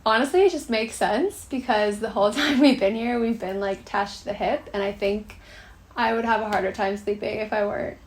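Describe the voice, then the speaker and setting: A twenty-six-year-old American woman from Massachusetts talking in a relaxed, conversational way to camera. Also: mid-range voice, fairly quick. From Massachusetts, United States. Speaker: vlog roommate. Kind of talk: casual chat to camera.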